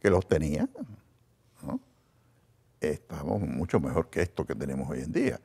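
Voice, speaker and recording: Man as guest, very low voice, earnest, halting. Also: big pitch swings, vocal fry, darkish tone, husky. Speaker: guest, television producer. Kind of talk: casual conversation.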